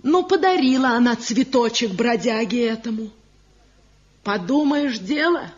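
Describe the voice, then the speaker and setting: A woman's voice: on the high side, lively intonation, measured pace. An actress playing a sympathetic old village wife and mother-in-law in a radio drama. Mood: sympathetic, aggrieved. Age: older. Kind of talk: Soviet radio drama acting. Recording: dull 1970 radio play recording.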